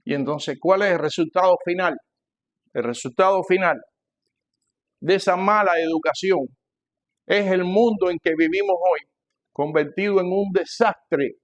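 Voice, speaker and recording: An older man with a Cuban Spanish accent preaching to a congregation, emphatic and repeating phrases, swinging between loud and hushed delivery. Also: sits high, unhurried, long pauses, highs cut off. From Cuba. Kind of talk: sermon, teaching a church congregation.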